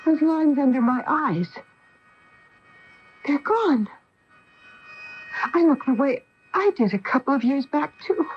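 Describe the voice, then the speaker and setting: Through a dull, slightly hissy radio recording comes a protective astronaut wife. Her voice sits fairly high and swings expressively, with the earnest drama of a science fiction radio play. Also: lively intonation, slow pace, long pauses, soft background music. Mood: astonished, urgent. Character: alarmed, questioning female astronaut wife, cautious and protective. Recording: noisy, muffled AM radio broadcast recording.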